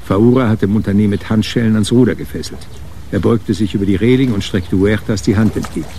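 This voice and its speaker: Male actor as deep, mellow crime narrator. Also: fairly quick, slight background noise, natural voice. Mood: composed. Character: composed male storyteller of a detective tale. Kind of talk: scripted crime drama narration.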